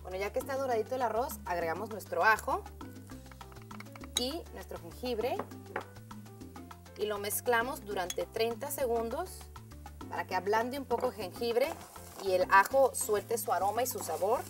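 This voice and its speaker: A woman's voice: on the high side, animated, normal speed, pauses often, music in the background. A woman hosting a cooking show, walking viewers through a recipe step by step in an upbeat, friendly way, calling them friends. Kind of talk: scripted cooking demonstration.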